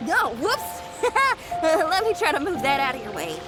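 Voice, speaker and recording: Perky high-voiced young rocker girl. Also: unhurried, heavily acted. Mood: sheepish. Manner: bubbly, animated, folksy. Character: perky, upbeat young female rock musician. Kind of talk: video game voice acting. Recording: loud game music behind the voice.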